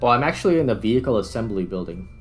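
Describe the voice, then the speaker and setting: A man's voice: on the low side, lively intonation, rapid-fire. A man giving casual gameplay commentary as a host, thinking aloud as he works. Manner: thinks aloud while working. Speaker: gaming video host. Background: background music.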